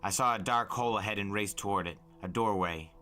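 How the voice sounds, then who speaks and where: Deep, forceful male storyteller. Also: a little fast, soft background music. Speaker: storyteller, podcast narrator. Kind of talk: dramatic audiobook narration.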